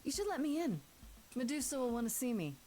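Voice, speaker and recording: A woman's voice: sits high, big pitch swings, fast, mellow, slight background noise. A woman playing an earnest, determined young muse in a musical video game, firm and sometimes singing. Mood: confident. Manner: pleading, firm, breaking into song.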